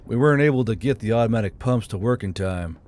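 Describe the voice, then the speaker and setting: A man's voice: lowish voice, fast, natural voice. Cautious practical engineer voiced by a man, muttering. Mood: focused. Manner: muttering, quiet, instructing. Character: cautious, practical male engineer testing a machine. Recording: music behind the voice.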